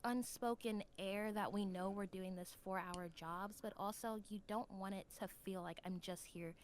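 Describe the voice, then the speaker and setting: A woman's voice: medium pitch, steady tone, normal speed, a little hiss or hum. A woman chatting casually as an interview guest, earnest and reflective in how she talks. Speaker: podcast guest, new voice actor.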